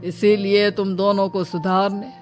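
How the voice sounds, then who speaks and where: Calm, wise holy man, voiced by a woman. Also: mid-range voice, measured pace, faint music behind, pitched below the performer's own voice, lightly acted. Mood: calm advising. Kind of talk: moral story dialogue.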